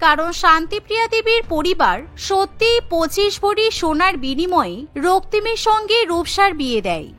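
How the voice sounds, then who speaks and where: A woman's voice: extremely high pitch, sing-song, normal speed, few pauses, fairly bright tone, faint music behind. A woman narrating a cartoon story in a scripted, dramatic storytelling style.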